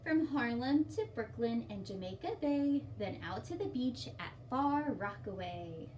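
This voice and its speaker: A woman's voice: on the high side, animated, unhurried, darkish tone, lo-fi sound. A woman hosting story time for young children, reading a picture book aloud with simple, encouraging explanations and questions. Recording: distant mic, faint background noise.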